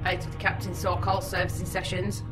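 A woman's voice: fairly low pitch, little pitch movement, fast talker, music in the background, natural voice, rough recording. A woman playing a sassy, logical female ship's computer in a comedy radio play, sarcastic and condescending. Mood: bargaining. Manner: sarcastic, condescending, matter-of-fact.

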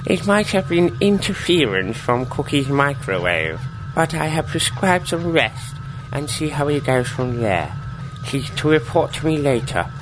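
An actor playing an earnest, officious starship doctor in a comedy radio play, speaking in a measured, formal way. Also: male voice, mid-range voice, noisy background, close to an everyday voice. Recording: music behind the voice.

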